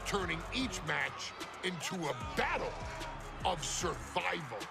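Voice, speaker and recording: Slow, grandiose male narrator over music. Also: high-pitched, sing-song, creaky. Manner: grandiose, reverent, emphatic pauses. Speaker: narrator and presenter of a wrestling showcase. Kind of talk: dramatic scripted narration. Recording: music under the voice.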